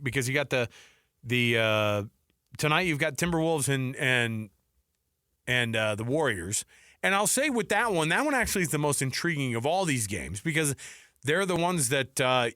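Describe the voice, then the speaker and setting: A man's voice: on the high side, sharp tone, no room sound, studio quality. A man in his forties or older hosting a sports talk show, bantering casually and repeating himself for emphasis. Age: 40s or older. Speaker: sports radio show host.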